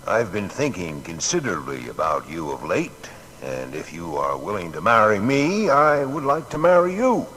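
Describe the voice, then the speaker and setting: An actor playing an earnest, matter-of-fact male inventor in a dramatised biography, speaking in a deliberate, plain-spoken way. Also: deep voice, expressive, fairly bright tone, poor audio. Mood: earnest, blunt.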